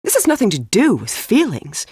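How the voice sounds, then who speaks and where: A woman's voice: mid-range voice, huge pitch swings, moderate pace, a little mellow, slightly rough, no echo, clean studio sound. An actress playing an earnest, composed middle-aged woman physicist in a video game, speaking precisely and a little formally. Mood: defensive. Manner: precise, articulate, slightly formal. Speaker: scientist character in a video game. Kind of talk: scripted video game voice acting.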